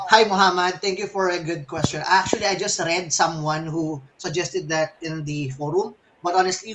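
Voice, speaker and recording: A man's voice: sits high, fairly bright tone. A man speaking casually and hesitantly as a game developer answering questions in a live session. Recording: faintly noisy livestream audio.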